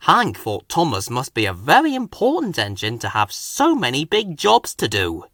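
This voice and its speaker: A man's voice: mid-range voice, wildly swooping pitch, normal speed, fairly bright tone, no echo, clean studio sound. A man reading a scripted children's story as narrator. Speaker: storybook reader.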